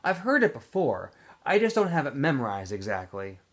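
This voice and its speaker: A quiet, proud boy from a storybook, soft-spoken and matter-of-fact, his voice lifting and dipping in a lively way, as a male teacher reads him aloud. He gives short, plain answers and sounds sure of himself. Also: medium pitch, moderate pace, lightly acted. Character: quiet clever boy digger, shy and proud.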